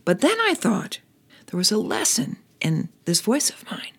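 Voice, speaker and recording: A woman teaching children's lessons, hoarse from laryngitis, speaking in a scratchy half-whisper and coaxing the children with questions. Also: mid-range voice, huge pitch swings, measured pace, fairly bright tone. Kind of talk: gentle talk to children.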